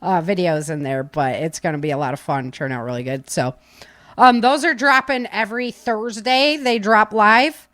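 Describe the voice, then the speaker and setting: A woman's voice: medium pitch, normal speed, a bit bright, no echo. A woman chatting casually and informally as a live podcast host, with breezy, slangy talk. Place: home office.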